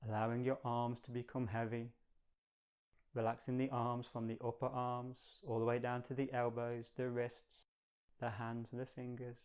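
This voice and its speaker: A man leading a guided meditation in a calm, gentle, measured manner, giving slow, soothing instructions. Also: medium pitch, clear, resonant tone.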